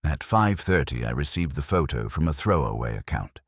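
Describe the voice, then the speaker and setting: A man's voice: very deep voice, big pitch swings, normal speed, husky, no room sound, clean studio sound. A man reading scripted detective fiction as an audiobook narrator.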